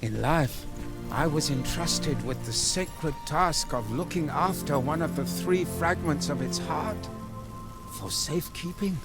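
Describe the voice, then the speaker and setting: An actor playing an absent-minded undead guide and keeper of lore in a video game, speaking theatrically with hesitant rambling. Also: male voice, on the high side, measured pace, loud music behind the voice. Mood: dramatic. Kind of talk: video game character acting.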